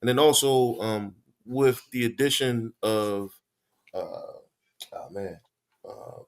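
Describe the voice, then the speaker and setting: A man's voice: medium pitch, takes it slowly. Easygoing male co-host, mid-range voice, teasing tone. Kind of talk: casual chat.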